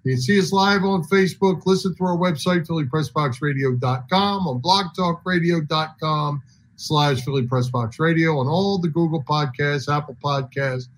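A man's voice: a little high, normal speed. A man co-hosting a sports radio show, talking casually and reading out show plugs.

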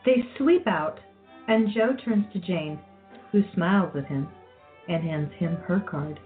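A woman's voice: lowish voice, a little slow. A woman reading scripted narration for a radio play. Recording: phone-like audio with faint music.

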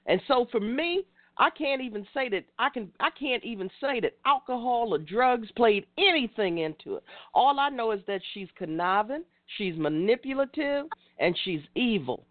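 A woman's voice: fairly high pitch, expressive, moderate pace, no room sound, narrow, tinny audio. A woman in her fifties hosting a call-in talk show, speaking in a preachy way and addressing listeners directly. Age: 50s. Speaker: radio show host.

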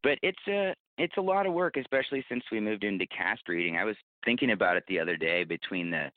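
A man's voice: lowish voice, expressive, fast talker. A man being interviewed as a podcast producer, explaining things thoughtfully and step by step in a conversational way. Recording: narrow phone-like line, roomy echo.

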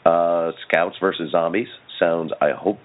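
Very deep-voiced male host, muffled sound. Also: steady tone, a little slow. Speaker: podcast host. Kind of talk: casual interview and chat.

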